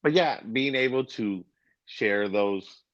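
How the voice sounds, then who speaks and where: A man's voice: mid-range voice, slow pace, a bit bright, no echo, highs cut off. A man co-hosting a podcast in casual chat, easygoing and agreeable, with light teasing. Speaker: podcast co-host.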